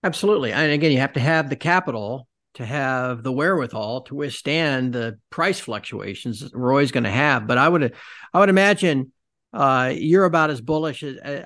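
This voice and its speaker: A man's voice: mid-range voice, a bit bright. A man hosting a podcast, asking long, rambling conversational questions. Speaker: podcast host. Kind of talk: podcast interview.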